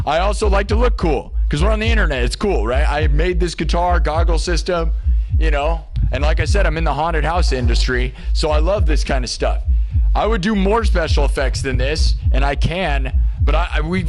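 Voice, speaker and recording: A man's voice: fairly high pitch, darkish tone. A man from Des Moines, Iowa, hosting a live music stream, chatting casually and rambling as he talks to viewers. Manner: upbeat and rambling, keeps asking viewers "right?". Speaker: livestream host and live-looping musician. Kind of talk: casual livestream chat to viewers. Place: home music studio, indoors.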